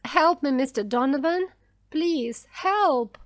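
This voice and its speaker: A woman's voice: fairly high pitch, big pitch swings, slow, fairly bright tone, heavily acted. A woman voicing a desperate, pleading mother in a storybook, crying out insistently. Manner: screaming, insistent, imploring. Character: desperate, pleading mother stranded on storm-beaten rock.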